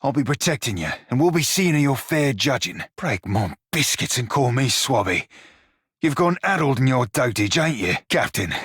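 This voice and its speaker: A man voicing a sly, foul-mouthed pirate shiphunter in a video game, husky, with a pirate-style dialect and salty seafaring slang. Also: mid-range voice, very animated, normal speed, a bit bright, no echo, studio quality. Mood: agitated, indignant. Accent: pirate-style English dialect. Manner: salty seafaring slang, colourful cursing, wry. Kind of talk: video game character dialogue.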